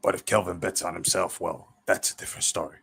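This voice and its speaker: A man voicing a gruff, principled old man in a told story, plain-spoken, deliberate and profane. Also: sits low, level tone, background noise. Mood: stern. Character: gruff old male boxing mentor, principled but affectionate.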